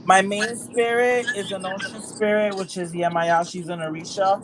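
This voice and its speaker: A woman from Puerto Rico talks easygoing and loose as a guest on a show. Her speech is filled with "like" and "um". Clear background noise sits under her voice. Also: mid-range voice, normal speed. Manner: relaxed, many fillers like "like" and "um". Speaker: guest practitioner. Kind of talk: casual show-and-tell chat. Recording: noisy livestream audio.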